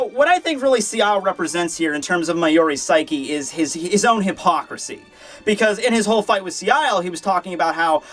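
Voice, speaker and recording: High-pitched man, casual reviewer. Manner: rambling, animated retelling with acted-out quotes. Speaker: manga chapter reviewer. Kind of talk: casual, profane review commentary.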